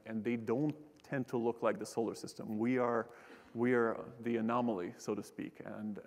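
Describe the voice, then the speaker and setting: A man's voice: medium pitch, darkish tone. A young man giving a casual public science talk from a lecture hall stage, joking and restarting his sentences with many fillers. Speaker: keynote speaker, astronomer. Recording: long hall reverb.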